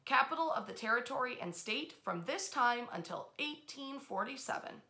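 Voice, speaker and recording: Bright, high-voiced woman telling history. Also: lively intonation, normal speed, creaky. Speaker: history storyteller and host. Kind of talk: informal storytelling to camera, part read aloud. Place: small bedroom, indoors.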